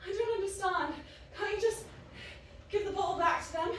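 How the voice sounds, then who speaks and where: A woman's voice: very high voice, normal speed, fairly bright tone, far from the mic. An actress playing an outspoken, irreverent young woman in a science fiction audio drama, blunt, exasperated and full of questions. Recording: very echoey, off-mic, faint noise.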